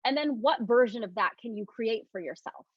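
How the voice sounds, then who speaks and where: A woman's voice: a little high, expressive, husky, dry recording, highs cut off. A woman leading a live online self-love talk, soothing and repetitive at times, chatty and loose at others. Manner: soft, reassuring, repeats phrases slowly for emphasis; loose, chatty with many "like" and restarts. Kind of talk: guided talk with breathing cues and viewer questions.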